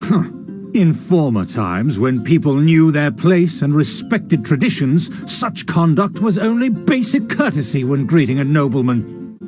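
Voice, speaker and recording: A man's voice: on the high side, sing-song, music in the background. An actor playing an arrogant noble man who speaks in a haughty, condescending way. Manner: haughty, condescending, drawn-out speech. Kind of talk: video game voice acting.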